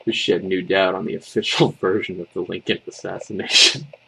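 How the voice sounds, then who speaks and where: A man reading a scripted horror story as narrator, with a gravelly voice and a measured, dramatic delivery. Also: low voice, steady tone, pushed and bright, low-quality recording. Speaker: creepypasta narrator. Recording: faint background noise.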